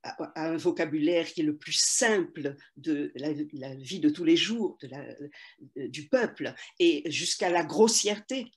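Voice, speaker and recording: An older woman, a literary translator, answering interview questions slowly and thoughtfully, pausing often and restarting phrases. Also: low voice. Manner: slow, measured, many hesitations and restarts.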